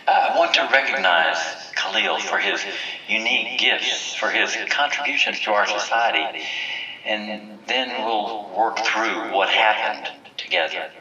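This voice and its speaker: An actor playing a stern, solemn village leader giving measured, commanding oratory in an audio drama. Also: male voice, lowish voice, bright, forceful tone, roomy sound, far from the mic, highs cut off. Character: stern, solemn village leader presiding over a memorial and judgment. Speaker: community leader. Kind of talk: formal speech in an audio drama.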